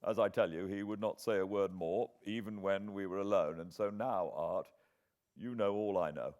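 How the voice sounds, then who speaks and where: A British man narrating a classic novel as an audiobook, with measured, expressive dramatic storytelling. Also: low-pitched, dull audio. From Britain.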